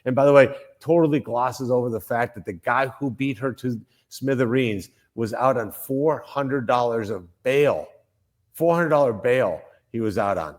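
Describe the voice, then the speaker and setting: A man's voice: medium pitch, moderate pace. An older man from Colombia, a senator speaking as a guest in a political interview, emphatic, with frequent restarts and repeated phrases.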